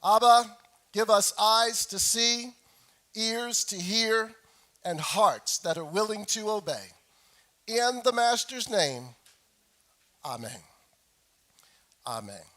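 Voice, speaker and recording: Middle aged guy preaching at church, voice kinda high and hoarse like he's getting over a cold, repeats stuff for emphasis. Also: animated, sharp tone. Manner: frequent throat clearing; deliberate, repeats phrases for emphasis. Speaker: pastor preaching to his congregation. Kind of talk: sermon with scripture reading.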